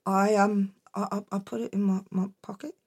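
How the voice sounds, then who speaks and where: A hushed, stammering boy, as a woman storyteller puts him on, mumbling low and apologetic in a children's tale. He trips over his words, lower and quieter than the narrator. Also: level tone, moderate pace, slightly rough. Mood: sheepish. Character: timid, foolish young boy Jack.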